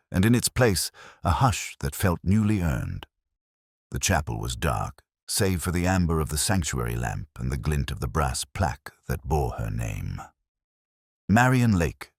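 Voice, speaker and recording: A man playing a melancholy, reflective police inspector, narrating an audio drama in slow, measured, solemn literary prose with deliberate pauses. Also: a bass voice, big pitch swings, gravelly, husky, dry recording, studio quality, heavily acted. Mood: solemn, hushed. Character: melancholy, reflective male police detective inspector telling his story.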